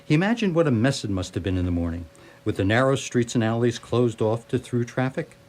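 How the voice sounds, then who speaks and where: A man's voice: medium pitch, animated, brisk, darkish tone. A man narrating a radio play in a theatrical, wry storytelling manner.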